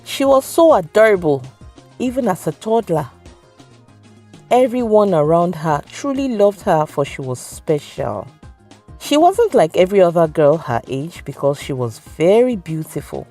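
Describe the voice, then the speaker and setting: Nigerian female storyteller, low register, scripted folktale narration over soft music. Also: sing-song, a little slow.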